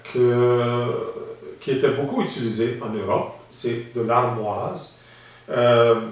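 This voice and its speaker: A man talks through a demonstration, calm and explanatory, stopping often on small hesitations. His voice sits at an ordinary pitch and rings around a very echoey room, picked up from some way off the mic. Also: distant mic. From Saskatchewan, Canada. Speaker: instructor. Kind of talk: instructional demonstration.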